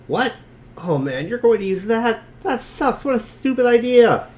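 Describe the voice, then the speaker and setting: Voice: medium pitch, sing-song, unhurried, darkish tone, pitched above the performer's own voice. A reader voicing an exasperated, whiny, childish objector in a dramatic reading. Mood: indignant.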